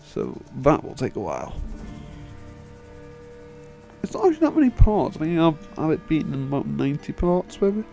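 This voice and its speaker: A man's voice: a little high, huge pitch swings, normal speed, vocal fry, long pauses, mellow. A young man rambling casually over a video game in a self-deprecating, sweary way, his voice tired from long talking. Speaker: video game let's player. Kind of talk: casual rambling gameplay commentary. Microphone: microphone, voice-over on game video. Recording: music playing behind the voice. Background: game end-credits music.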